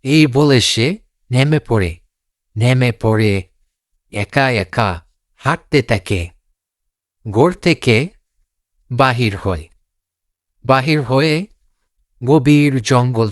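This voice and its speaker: Casting: male fairy-tale narrator, unhurried, animated intonation, simple repetitive phrasing, very dry sound. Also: mid-range voice, no echo. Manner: repeats phrases, simple unpolished storytelling. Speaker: storyteller.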